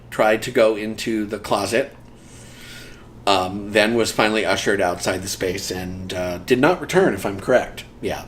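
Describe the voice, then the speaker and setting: A man's voice: fairly low pitch, a little hiss or hum. A man in a casual interview, reminiscing about community theater, rambling with frequent ums and dry humor.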